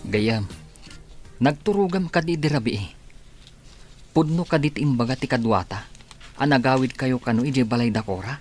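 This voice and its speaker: A man's voice: medium pitch, normal speed, pauses often, faint music behind, lightly acted. A man with an Ilocano accent playing a curious, teasing pal in a radio comedy-drama. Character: teasing male pal and workmate, curious and plain-spoken.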